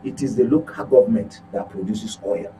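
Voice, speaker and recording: A man's voice: lowish voice, normal speed, noisy background. A Nigerian man speaking as a guest commentator in a political discussion, emphatic and repeating phrases for stress.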